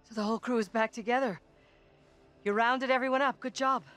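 A woman's voice: fairly high pitch, fairly even intonation, brisk, fairly bright tone, lightly acted. An actress playing a tough middle-aged woman with a dry, wry manner in a horror game. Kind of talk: cinematic horror game dialogue.

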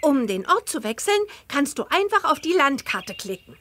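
A woman's voice: high voice, big pitch swings, normal speed, sharp tone, slight background noise, full character voice. A woman voicing a cheerful, eager teenage witch girl in a children's game, perky and encouraging. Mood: perky, inviting. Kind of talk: children's game dialogue acting.

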